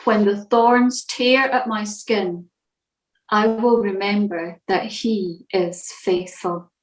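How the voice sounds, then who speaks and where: A woman reading a scripted church devotional in a measured, reflective manner. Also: medium pitch, fairly even intonation, roomy sound, poor audio.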